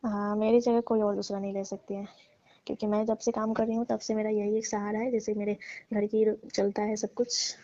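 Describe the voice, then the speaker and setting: Soft mellow woman, pleading on a dull roomy phone recording. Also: mid-range voice, flat delivery, brisk. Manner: pleading, deferential. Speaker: domestic worker on a call with employer. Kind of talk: private phone conversation.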